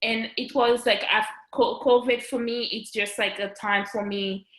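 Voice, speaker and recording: A woman in her thirties from Haiti with a Haitian accent. She talks as a guest in a casual interview, chatty and full of fillers, restarts and quick laughs. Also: on the high side, steady tone, a bit bright. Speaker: guest, registered nurse and business owner. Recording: roomy echo.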